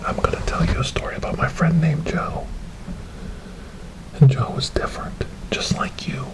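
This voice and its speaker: A man playing a soothing, eerie asylum helper in a horror ASMR roleplay, coaxing a tormented creature with hushed, repetitive phrases. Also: a little high, steady tone, moderate pace, natural voice, poor audio. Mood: somber storytelling.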